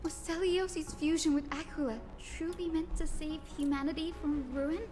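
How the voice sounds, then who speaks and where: A woman voicing a gentle, sorrowful girl healer in a fantasy game, speaking softly and earnestly. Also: sits high, fairly even intonation, moderate pace, over music, natural voice. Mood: troubled. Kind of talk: scripted fantasy game dialogue.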